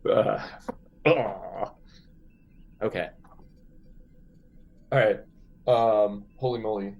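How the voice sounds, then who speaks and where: A man with a fairly low voice takes part in a tabletop role-playing game. He improvises casually, stopping and restarting as he mulls each point over aloud. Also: very slow, creaky, narrow, tinny audio.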